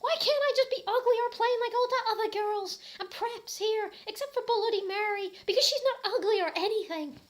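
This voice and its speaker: A woman's voice: extremely high pitch, brisk, few pauses, voice pushed up. A woman voicing a dramatic, self-pitying teenage goth girl in a comic fan fiction reading, whining shrilly. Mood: melodramatic.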